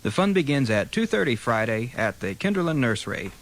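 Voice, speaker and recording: A man's voice: medium pitch, very animated, a little fast, a little hiss or hum. An American man reading scripted weather and community announcements as a radio announcer. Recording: old radio aircheck with music. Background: music.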